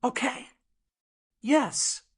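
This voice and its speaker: Narrator lady doing guarded woman in love, quiet n hesitant, slow, audiobook romance. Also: high voice, slightly rough, voice pushed up, full character voice. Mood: relieved, accepting.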